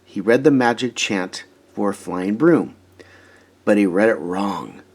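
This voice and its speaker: A man reading a children's storybook aloud in an expressive, emphatic way. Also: lowish voice, unhurried. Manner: animated, emphatic, dramatizing story moments. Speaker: storybook reader.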